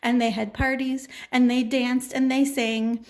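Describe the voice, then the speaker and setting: Woman storyteller, high voice, slow pace, simple repetitive children's narration. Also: little pitch movement, a little mellow. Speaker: storyteller, puppeteer.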